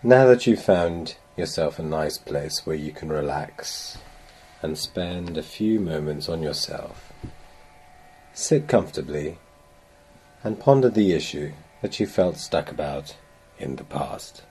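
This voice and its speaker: A man with a very low, dark voice leads a guided meditation. He speaks very slowly and gently, leaving long pauses between measured phrases. The tone is mellow and a little breathy. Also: animated, a little hiss or hum. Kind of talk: guided meditation, slow soothing instruction.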